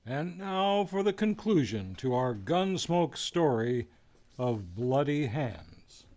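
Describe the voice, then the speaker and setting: Male announcer, slow delivery, lively pitch swings, old-time radio presentation.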